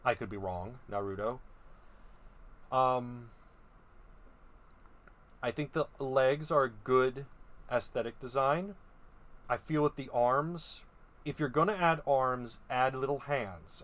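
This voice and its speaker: A man's voice: mid-range voice, takes it slowly, pauses often. A young man giving casual opinion commentary as a video host. He muses hesitantly, with frequent ums and restarts. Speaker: video host reviewing creature designs.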